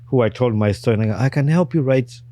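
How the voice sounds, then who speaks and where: A man from Uganda, hosting a podcast and telling his own story in casual, animated chat. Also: mid-range voice, fast. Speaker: podcast host.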